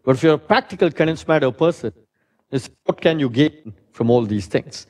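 A middle-aged man with a loud voice giving a physics lecture in a lecture hall, thinking aloud with many fillers and "right?" tags. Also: a little high, animated, moderate pace. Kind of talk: academic lecture.

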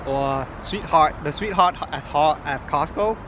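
A man's voice: on the high side, measured pace. A man in his thirties, a home grower explaining the steps plainly in a yard, recorded outdoors. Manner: plain, step-by-step explaining with fillers. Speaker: guest pomegranate grower. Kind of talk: casual explaining. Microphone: camera held by another person a few feet away. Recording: outdoor recording with traffic noise.

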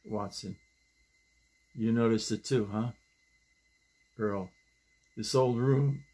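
Man around 70, medium pitch, unhurried and halting, plain home-recorded read or chat. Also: a little mellow. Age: older, around 70. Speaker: YouTube host and playwright reading own work. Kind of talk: script reading and casual vlog talk. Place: small home office, indoors.

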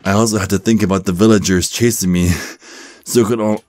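Man playing a deep-voiced, supportive werewolf gym bro. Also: fairly even intonation, fast, darkish tone, dry recording, natural voice. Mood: straining, encouraging. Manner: hesitant, stammering, encouraging. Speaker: voice actor in an ASMR roleplay. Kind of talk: ASMR roleplay, one-sided conversation.

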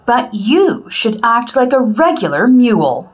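A chatty young cartoon mule from a picture book, acted out by a woman reader. The voice sits fairly high and bounces through exclamations with very animated pitch. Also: measured pace. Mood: scolding. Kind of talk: children's storybook character reading.

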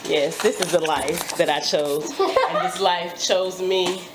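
A woman's voice: on the low side, slow, over a noisy crowd. A young woman vlogging with a friend in a small home kitchen on a phone held at arm's length, teasing and laughing often. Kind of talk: casual chat.

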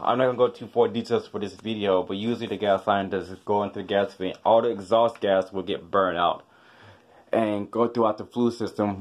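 A man's voice: lowish voice, no echo. A man who is a house inspector and HVAC tech, giving a casual, rambling walkthrough with offhand, dismissive asides, filmed on a handheld phone outdoors beside a house and in a basement utility room. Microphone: handheld phone camera.

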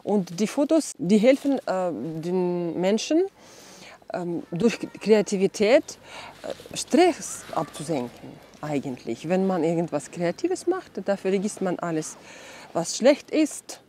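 A woman's voice: on the low side, big pitch swings, slow, dark tone, a little hiss or hum. A young woman from Ukraine, sitting in an outdoor garden and thoughtfully explaining the garden's creative projects, with many fillers. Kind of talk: documentary interview.

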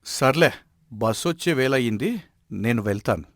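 Animated, avuncular village headman, played by a man. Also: medium pitch, normal speed, dry recording, clean studio sound. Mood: genial. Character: respected village president who settles disputes. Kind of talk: animated moral story dialogue.

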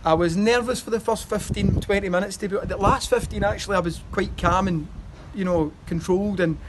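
A man's voice: high-pitched, fairly even intonation, fairly quick, slight background noise. A Scottish man in his thirties, a football manager giving a post-match interview outdoors, talking in rambling runs with fillers and restarts. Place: outdoors by a stadium wall.

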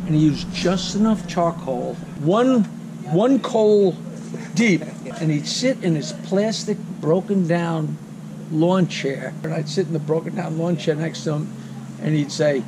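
A man's voice: on the high side, unhurried, noisy background. A man cooking at an outdoor grill and chatting casually, telling blunt, wry stories. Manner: wry storytelling, blunt jokes. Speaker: comedian cooking on camera. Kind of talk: casual chat while cooking.

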